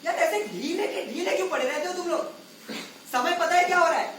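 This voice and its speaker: A woman playing a bossy, exasperated clown troupe leader on stage, scolding with drawn-out sarcasm. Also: very high voice, a bit bright, a little hiss or hum. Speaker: stage actor. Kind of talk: Hindi stage play acting. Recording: very echoey hall, distant mic, faint music.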